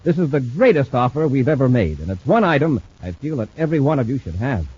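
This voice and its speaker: A man's voice: medium pitch, sing-song, fast, a little mellow, slightly rough. An actor playing a brave male space commander, speaking in earnest, clipped 1950s radio drama style. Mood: urgent pitch. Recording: muffled old radio transcription.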